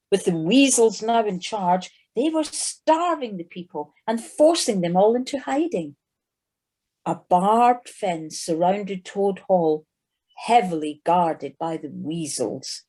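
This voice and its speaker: Female storybook narrator: mellow, slightly husky, taking the script slowly. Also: medium pitch, animated.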